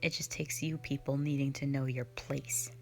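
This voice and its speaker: A woman's voice: extremely low pitch, steady tone, normal speed, a little mellow, slight background noise. A woman voicing a cold, sardonic female slayer who is not human, talking in a dismissive, mocking, blunt way in an audio roleplay drama. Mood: scornful.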